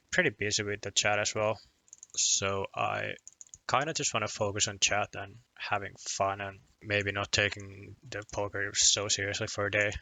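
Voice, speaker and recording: A man's voice: fairly low pitch, vocal fry, bright, forceful tone, dry recording. A young man from Finland with a Finnish accent and Finnish as his first language, chatting casually on a poker live stream, rambling and self-deprecating with lots of restarts and chuckles.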